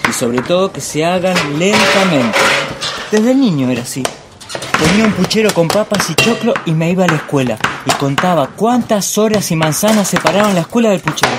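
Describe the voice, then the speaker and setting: Pompous master chef, kitchen clatter. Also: male voice, high-pitched, animated, fairly quick, very noisy. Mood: dreamy, pompous. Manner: pompous, digressive, theatrical monologuing. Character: pompous, bullying male master chef, dreamy film snob. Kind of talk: radio play acting.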